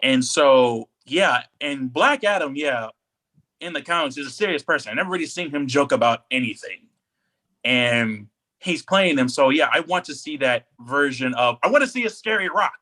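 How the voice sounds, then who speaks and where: A man co-hosting a podcast in casual, slangy, opinionated and animated chat. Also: mid-range voice, normal speed. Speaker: podcast co-host. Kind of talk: casual pop culture chat.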